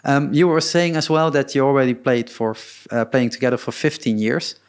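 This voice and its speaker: A man hosting a casual interview, relaxed and talkative, often stumbling over his words. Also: medium pitch, fairly quick, clear, resonant tone. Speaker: interview host.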